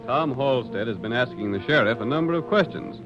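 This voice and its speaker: A man's voice: medium pitch, expressive, fairly quick, lightly acted. A man as an American announcer giving dramatic scripted narration for an old-time radio Western. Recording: dull old radio recording. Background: music.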